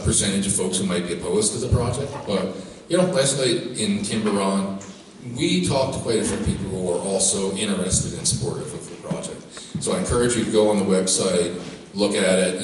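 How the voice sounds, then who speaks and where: Man presenting in a very echoey room. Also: fairly low pitch. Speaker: project presenter at a public meeting. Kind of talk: measured public presentation to community. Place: public meeting room indoors. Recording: echoey hall, distant microphone, faint noise.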